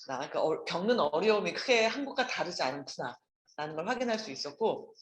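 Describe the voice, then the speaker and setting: A woman panelist giving discussion remarks, quick and lively, pausing to rephrase. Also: on the low side, echoey room, a little hiss or hum.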